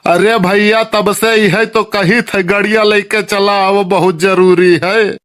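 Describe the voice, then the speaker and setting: Man voicing a high, flustered Awadhi village neighbour. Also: steady tone, measured pace, a bit bright. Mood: urgent, exasperated. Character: young village neighbour, earnest, flustered, helpful. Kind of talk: amateur comic cartoon dub in dialect.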